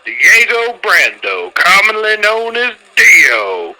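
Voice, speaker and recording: A man's voice: sits high, wildly swooping pitch, takes it slowly, pushed and bright, exaggerated voice, low-quality recording. A man voicing a boastful, flamboyant race promoter in an anime fan dub, with a grandiose, emphatic showman's delivery. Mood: grand, showy.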